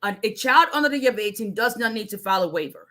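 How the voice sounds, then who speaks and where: A woman's voice: mid-range voice, expressive, quick pace, bright, forceful tone. A woman in her forties from Nigeria, speaking as an immigration attorney answering viewers in a casual live Q&A, with a strong voice and frequent restarts. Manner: strong voice, chatty, with many restarts. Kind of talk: casual livestream Q&A.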